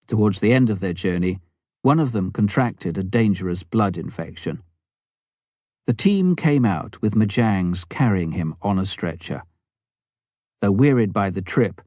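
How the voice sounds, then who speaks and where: Guy w a lowish voice narrating a memoir audiobook, really dry close recording no room sound. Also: a little mellow, dry recording.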